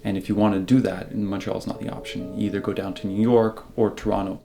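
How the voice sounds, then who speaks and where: Male interviewee, lowish gravelly voice, measured and articulate, stating opinions. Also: little pitch movement, over music. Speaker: job seeker interviewee. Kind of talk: documentary interview, reflective opinion.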